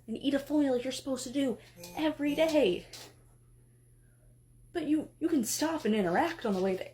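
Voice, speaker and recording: A woman voicing an exasperated goddess who scolds her husband, swinging between sharp outbursts and weary pleading. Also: high-pitched, normal speed, slight background noise, lightly acted. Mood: incredulous. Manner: scolding, then pleading and tearful. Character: exasperated, emotional goddess wife.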